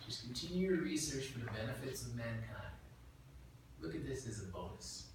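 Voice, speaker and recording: Brief: man reading low-key agent, soft and reassuring, roomy distant sound. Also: lively intonation, normal speed, close to an everyday voice. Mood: calm. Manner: quiet, reassuring. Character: calm mid-thirties male government agent, quiet and smooth. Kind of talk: table read. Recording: echoey room, mic far off, faint noise.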